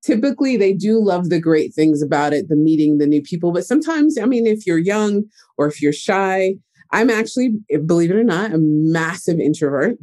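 A young man talking about his life as an interview guest, lively and chatty. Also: high-pitched, fairly quick. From United States. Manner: animated, chatty storyteller. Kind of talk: casual interview talk.

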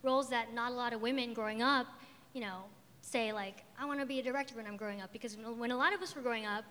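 A woman's voice: fairly high pitch, quick pace, bright, forceful tone. A woman moderating a live panel and podcast, upbeat with laughing asides. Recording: reverberant hall.

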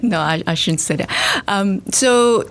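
A woman's voice: medium pitch, expressive, takes it slowly, a bit bright. A middle-aged woman from Singapore, a scientist and facility director, chatting thoughtfully in an interview with frequent fillers and restarts. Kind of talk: casual interview chat.

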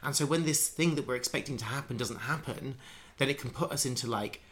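A man's voice: mid-range voice, fast talker, fairly bright tone. A man in casual conversation, musing at length with many restarts and fillers. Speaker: conversation partner.

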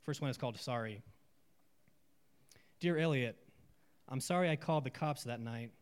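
A man who leads a writing workshop, introducing his students' pieces in a loose, halting way and reading them aloud slowly and deliberately. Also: mid-range voice, clear, resonant tone. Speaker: writing workshop leader reading participants' work. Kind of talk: live reading to an audience.

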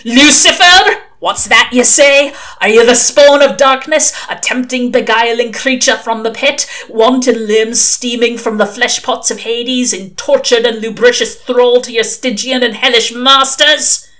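A woman voicing a testy, pompous old male witchfinder sergeant, with a gruff rumble, a mixed regional British accent and archaic dialect, in an audiobook. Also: takes it slowly, a bit bright. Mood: testy, ranting. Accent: unplaceable mixed British regional. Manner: ranting, booming, archaic dialect.

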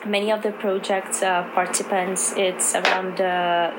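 A woman's voice: medium pitch, flat delivery, normal speed. A woman with a Brazilian Portuguese accent, a non-native English speaker, answering interview questions with many fillers and restarts. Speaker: cultural project coordinator. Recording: noisy background ambience.

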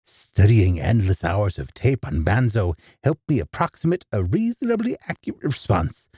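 Gravelly male horror narrator, very deep and dark-toned; theatrical, close and dry. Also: sing-song, normal speed, no room sound. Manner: theatrical, with sudden gasps and hushed asides. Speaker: storyteller. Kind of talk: dramatic first-person horror narration.

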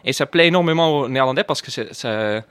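A man's voice: medium pitch, fast talker, a bit bright, smooth, no room sound. A man from the Netherlands speaking French with a Dutch accent, chatting playfully on a talk show with frequent fillers and laughs. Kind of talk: chatty radio column.

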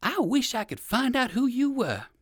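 A woman voicing a polite, curious old gentleman rabbit in a children's storybook, with courteous old-fashioned manners. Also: on the high side, sing-song, normal speed, slightly rough, dry recording, clean studio sound, voice pushed up. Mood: eager, curious. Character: polite, eager elderly gentleman rabbit with rheumatism.

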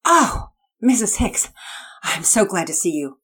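A woman's voice: mid-range voice, big pitch swings, measured pace, fairly bright tone, husky, full character voice. A woman voicing an earnest, hopeful young boy in a read-aloud story. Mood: relieved.

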